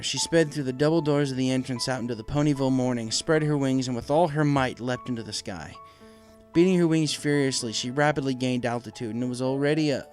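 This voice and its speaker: A man's voice: mid-range voice, darkish tone. A man reading scripted story narration as the narrator of a fan fiction audiobook. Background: music.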